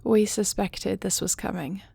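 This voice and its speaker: A woman acts a gracious dragon queen for an audio roleplay. Her voice has a light fry at its edges and sits very dry. She speaks formally and with measured care, like a loving, earnest monarch. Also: medium pitch, steady tone, mellow, dry recording, heavily acted. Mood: composed, formal. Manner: formal, measured, stately diction. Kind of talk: scripted audio roleplay acting.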